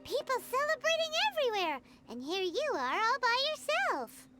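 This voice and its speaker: A woman voicing a small, childlike, cheeky and excitable fairy companion in an anime video game. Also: very high-pitched, moderate pace, very noisy. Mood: chatty. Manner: speaks of self in third person, exclaims eagerly. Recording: music playing behind the voice.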